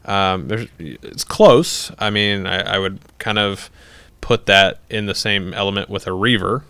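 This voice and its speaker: A man's voice: fairly low pitch, unhurried, pushed and bright, clean tone, no room sound. A young man hosting a livestream, chatting casually as he answers viewer questions, rambling with lots of fillers and restarts and laughing easily. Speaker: livestream host.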